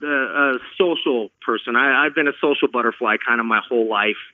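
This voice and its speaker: A man's voice: mid-range voice, brisk, dry recording, phone-quality sound. A middle-aged man from Los Angeles hosting a podcast, chatting earnestly and often repeating words as he starts a sentence. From Los Angeles, California. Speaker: podcast host. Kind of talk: casual conversational chat.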